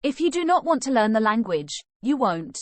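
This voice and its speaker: Woman, teacher reading a scripted lesson, lively sing-song pitch, dry close sound. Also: moderate pace, dry recording. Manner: reads sample questions and answers, then study tips.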